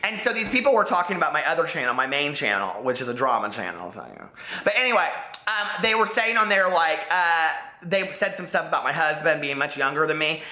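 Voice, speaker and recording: A man's voice: a little high, fairly quick. Chatty, sassy man in an echoey room. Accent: Midwestern American with a slight Southern drawl. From Indiana, USA. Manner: chatty, animated, sassy asides. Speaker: book vlogger. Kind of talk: casual chat to camera.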